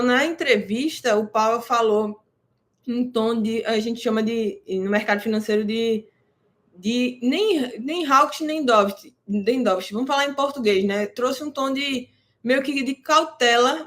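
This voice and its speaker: A woman host talking through crypto and interest-rate news in a relaxed, conversational way, explaining jargon plainly and often checking in with her listeners. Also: a little high. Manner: explains terms plainly for beginners. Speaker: crypto market commentator. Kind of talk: casual market analysis to camera.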